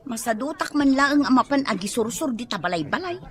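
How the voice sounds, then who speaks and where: A woman acts a nagging Ilocano wife in a radio comedy. Her voice is high and very animated, full of teasing complaint. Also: a little fast, natural voice.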